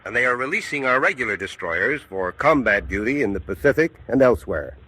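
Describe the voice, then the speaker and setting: An actor playing a firm, confident Navy Secretary delivering a formal wartime address. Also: male voice, medium pitch, big pitch swings, a little fast, pushed and bright, husky, exaggerated voice. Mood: resolute. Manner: firm, declarative.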